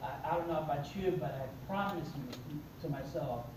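A man's voice: a little high, normal speed, noisy background. A middle-aged man from Mexico with a Mexican accent, telling his life story to an audience and acting out the dialogue. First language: Spanish. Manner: animated storyteller, acts out dialogue. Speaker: motivational speaker. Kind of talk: personal story talk to an audience. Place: conference room with seated audience. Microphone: far camera in the audience. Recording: echoey room, recorded from far off.

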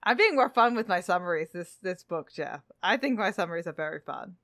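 A woman's voice: on the low side, lively intonation, brisk, a bit bright, dry recording, studio quality. A woman co-hosting a podcast, chatting casually and playfully about books. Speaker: podcast co-host. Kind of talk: casual, playful book discussion.

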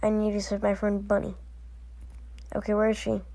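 A woman performing acted voicelines for a fan animation with slow, deliberate delivery. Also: medium pitch, monotone, creaky, dark tone. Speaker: amateur voice actor.

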